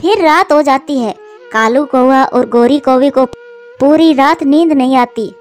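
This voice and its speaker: High-pitched woman narrating a children's story. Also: measured pace, faint music behind.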